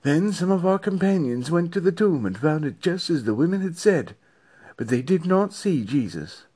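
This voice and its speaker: Man voicing an earnest disciple, high and husky. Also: lively intonation, moderate pace, dark tone. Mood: earnest, troubled. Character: earnest, troubled male disciple recounting recent events. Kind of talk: dramatized scripture reading.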